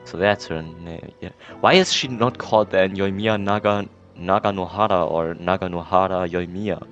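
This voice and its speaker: A man's voice: low-pitched, moderate pace, a bit bright, lo-fi sound, faint music behind. A man giving casual, rambling and reactive let's play commentary as a gaming streamer.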